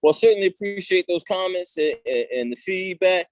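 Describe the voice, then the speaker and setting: Relaxed man hosting an online talk show. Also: high voice, moderate pace. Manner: relaxed, conversational, many fillers. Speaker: show host. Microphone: video call.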